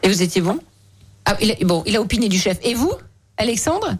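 A woman hosting a radio show in a lively, conversational interview style, chatty and teasing with guests. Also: medium pitch, brisk, husky.

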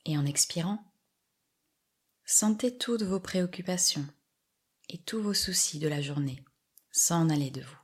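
A woman guiding a yoga meditation with soft, soothing scripted instructions and long pauses. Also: lowish voice, breathy edge. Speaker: yoga nidra relaxation guide.

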